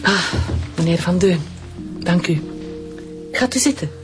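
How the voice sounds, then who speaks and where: An actress with a Flemish accent playing a cool, controlled widowed doctor. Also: female voice, on the low side, animated, measured pace, over music. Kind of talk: radio drama acting.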